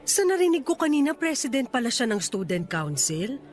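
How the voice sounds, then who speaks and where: A woman playing a doting Filipino mother in a radio drama, chatty and gushing with admiration as she gently compares her child. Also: high-pitched, sing-song, moderate pace. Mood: admiring.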